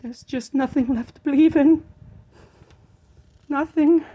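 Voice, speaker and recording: Storybook heroine, played by a man: high-pitched young woman, sweet, wistful, timid and pleading. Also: steady tone, takes it slowly, warm tone. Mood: despairing. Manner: hesitant, pleading. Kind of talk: children's storybook read-aloud.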